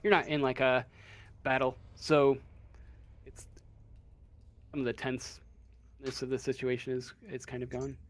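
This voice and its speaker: A man running a tabletop game as game master, narrating off the cuff in a halting, casual way as he searches for words. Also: medium pitch, level tone.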